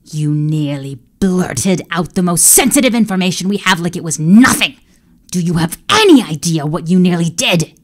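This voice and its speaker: A woman's voice: lowish voice, lively intonation, slow pace, few pauses, mellow. A woman voicing a cold, menacing male emperor who growls and snaps out orders. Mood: furious. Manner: growling, snapping commands.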